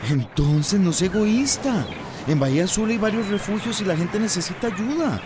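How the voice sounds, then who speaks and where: An actor playing an earnest, insistent young rescue worker in a radio drama, pleading and urgent. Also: male voice, high-pitched, expressive, moderate pace. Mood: firm, frank. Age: young adult. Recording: noisy outdoor ambience.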